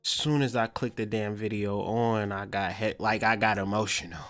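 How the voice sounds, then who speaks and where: A man's voice: fairly low pitch, fairly even intonation, normal speed, fairly bright tone. A man hosting a podcast, mixing casual slangy commentary with reading aloud and repeating phrases for emphasis. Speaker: podcast host.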